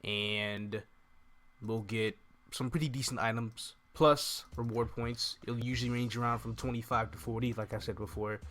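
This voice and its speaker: A man's voice: medium pitch, steady tone, moderate pace, fairly bright tone. A young man giving casual, informal walkthrough commentary as a gaming video host, talking through each step into a close microphone. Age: young adult. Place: indoor room at home. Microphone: desk microphone close to the mouth. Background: faint game music.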